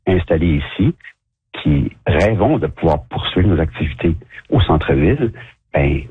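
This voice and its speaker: A man's voice: rumbling low, breathy edge, no room sound. A man from Montreal with a Quebec French accent, a downtown shop owner talking as a radio interview guest, hesitant with many restarts and fillers. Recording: thin phone-like radio line.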